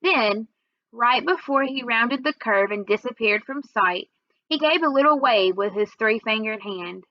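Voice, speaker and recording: Higher-voiced woman reading a children's story. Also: animated, unhurried, dry recording, dull audio. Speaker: read-aloud reader. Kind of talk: children's storybook read-aloud narration.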